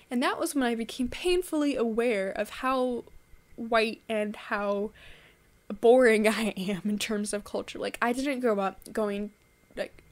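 A young woman from Texas talking casually to a webcam in her bedroom, rambling with many fillers and restarts. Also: medium pitch. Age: young adult. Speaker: vlogger. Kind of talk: casual monologue to camera. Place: small bedroom indoors.

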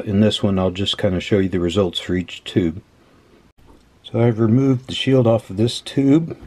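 A man casually talking through a hands-on repair as host, unhurried and dryly humorous. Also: on the low side, dark tone. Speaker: host of an electronics repair video. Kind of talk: casual hands-on demonstration commentary. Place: indoor workbench. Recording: clear background noise.

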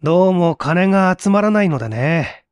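Storybook master craftsman, voiced by a male reader: casual, bossy, old-fashioned, slightly high, dry sound. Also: lively intonation, normal speed, smooth, dry recording. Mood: casual, brisk.

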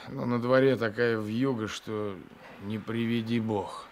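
A tired, frozen gendarme in an old radio play. He speaks slowly in a fairly low voice, polite and self-assured, with halting pauses. The recording is faintly noisy. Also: fairly even intonation. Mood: weary, courteous. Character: weary, frozen gendarme seeking shelter, courteous, self-assured. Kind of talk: 1980s Soviet radio drama acting.

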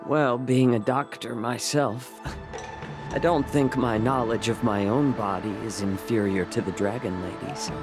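A man playing a gentle, wry, quietly stoic healer in an anime game, soft-spoken and courteous. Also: medium pitch, steady tone, unhurried, over music, close to an everyday voice. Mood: calm, wry.

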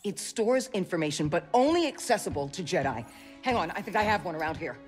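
A woman voicing a composed, wise middle-aged former Jedi mentor in a video game, measured and solemn. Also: on the low side, very animated, soft background music. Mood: intent. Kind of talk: video game cutscene acting.

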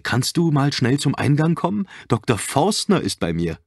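A man reading an audiobook, voicing a chirpy, eager young female receptionist in a bright, gushing, chatty manner. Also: mid-range voice, very animated, moderate pace, gravelly, slightly rough, no room sound, studio quality, voice pushed up, full character voice. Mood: breezy, helpful.

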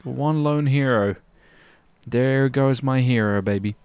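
A man with a gravelly voice chatting casually and slangily while playing a roleplay game. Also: medium pitch, unhurried, darkish tone, no room sound. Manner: slangy, says dude and baby often. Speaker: gaming video host, roleplay player. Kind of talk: casual gameplay roleplay chat. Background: car game sounds.

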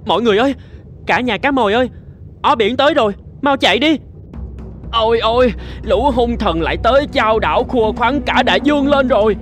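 Guy doing a nervous little fish, cartoon, high and pleading, fed up, faint music. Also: a little fast, fairly bright tone. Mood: panicked. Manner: anxious, pleading. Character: frightened, fed-up little sardine. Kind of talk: cartoon dubbing.

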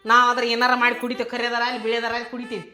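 Lady playing a chatty defensive mother-in-law, north karnataka kannada, fast talker, music in the back. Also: on the high side, monotone, bright, forceful tone. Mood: fussing. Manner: fussing, defensive, talkative.

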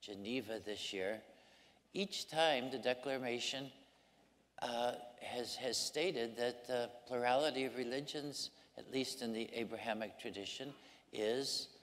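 A man on a conference panel making unscripted remarks in a measured, somewhat hesitant way. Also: medium pitch, fairly bright tone. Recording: long hall reverb.

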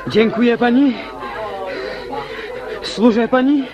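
Gallant high-voiced gentleman. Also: slow, lo-fi sound, loud music behind the voice. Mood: eager courtesy. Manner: courteous, effusive. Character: gallant, weary gentleman dancer, obliging and flattering. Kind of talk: stage-comedy radio play acting.

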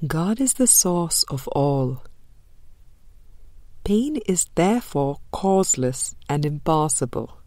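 A woman reading a spiritual book as an audiobook narrator, measured and deliberate, with frequent pauses. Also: low-pitched, expressive, mellow, no echo. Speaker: audiobook reader.

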